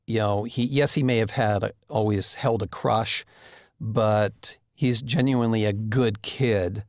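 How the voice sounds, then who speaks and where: A man giving a reflective interview about drama characters, halting as he searches for words. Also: on the low side, gravelly, a little mellow, no echo, studio quality.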